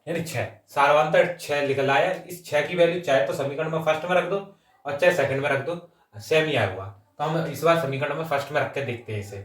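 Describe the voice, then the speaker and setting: A man's voice: on the high side, fairly quick. A man teaching an exam-coaching maths lesson, working through sums step by step, mixing in English terms and often adding short check-in phrases. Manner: mixes English number words and terms into the talk. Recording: roomy echo, distant microphone.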